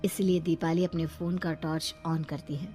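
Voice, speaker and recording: A woman narrates a scripted horror tale. Her voice sits fairly low, and music plays under the storytelling. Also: little pitch movement, normal speed, mellow.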